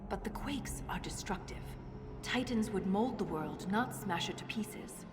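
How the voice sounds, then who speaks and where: A woman's voice: medium pitch, lively intonation, recorded from a distance, natural voice. Female dwarf shaper played by a woman, video game: studious, intuitive, reverent, big reverb, prominent score. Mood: troubled. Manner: measured, reverent, analytical. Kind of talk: video game cutscene acting. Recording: cavernous reverb under loud music.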